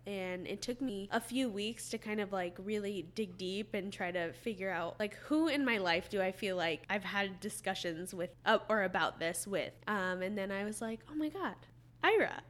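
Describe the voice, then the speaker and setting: A woman hosts a relaxed chat podcast, speaking in a mid-pitched, everyday voice. She rambles a bit, restarting her phrases and leaning on filler words as she thinks aloud. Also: moderate pace. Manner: chatty, with frequent "like" and restarts. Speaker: podcast host, hairstylist. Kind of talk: casual chat.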